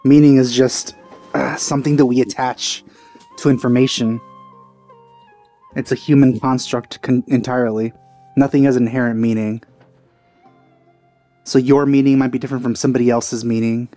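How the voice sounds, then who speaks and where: A man's voice: medium pitch, little pitch movement, normal speed, pauses often, warm tone, soft background music. A man giving casual commentary as he plays through a video game. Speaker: let's play streamer.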